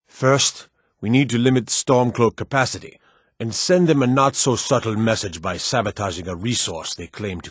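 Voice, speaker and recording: An actor playing a brusque soldier officer in a fantasy video game, giving terse, commanding, businesslike briefings. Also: male voice, on the low side, husky, no echo, studio quality. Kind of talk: scripted video game dialogue.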